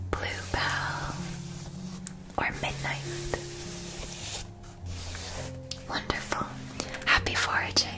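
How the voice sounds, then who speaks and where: Woman acting as helpful witch-school shopkeeper, ASMR roleplay, breathy whisper, even melody, attentive and measured. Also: medium pitch, close to an everyday voice. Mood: pleased, attentive. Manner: slow, gentle, measured, attentive salesmanship.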